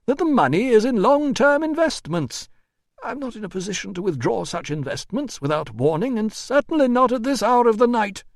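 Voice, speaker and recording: A man's voice: expressive, moderate pace, no echo, studio quality. A man voicing a fussy, cowardly, pompous Roman administrator in an audiobook, officious and indignant in a strained voice. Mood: flustered protest. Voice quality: strained, high-pitched. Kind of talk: audiobook character voice.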